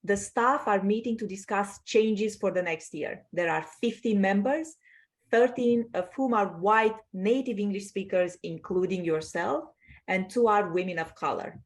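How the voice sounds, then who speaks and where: A woman from Romania leads a class over a video call, at a middle pitch. She explains things patiently and keeps checking that her students follow along. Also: measured pace. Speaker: teacher. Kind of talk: online class instruction.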